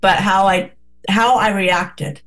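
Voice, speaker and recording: A woman's voice: medium pitch, steady tone, slow pace, fairly bright tone. A woman co-hosting a casual radio talk, speaking earnestly and reflectively with many restarts.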